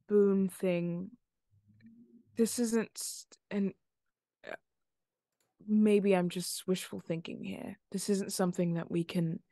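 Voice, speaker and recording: A woman's voice: medium pitch, warm tone, no room sound. A woman playing a tabletop roleplaying game over a video call, talking casually in character on a close mic.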